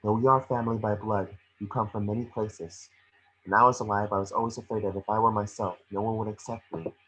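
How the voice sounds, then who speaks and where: A man reading the part of a gentle, remorseful witch ghost mentor in an amateur table read, earnest and measured. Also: fairly low pitch, fairly even intonation, husky, rough recording. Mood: wistful.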